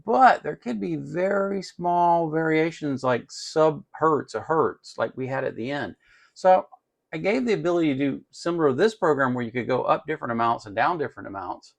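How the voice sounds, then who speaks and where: A man's voice: a little high, expressive, normal speed, gravelly. A man hosting an electronics tutorial in a casual, unscripted way, explaining step by step as he works and often repeating himself.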